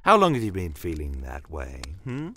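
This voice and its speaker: A man voicing a shrewd, bitter non-human desert commander in a dramatic audio story, speaking in a measured, theatrical and courteously menacing way. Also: deep voice, huge pitch swings, sharp tone, heavily acted. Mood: pointed, probing. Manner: measured, theatrical, pointed rhetorical questions. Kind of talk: dramatic first-person audio story narration. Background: battle aftermath ambience.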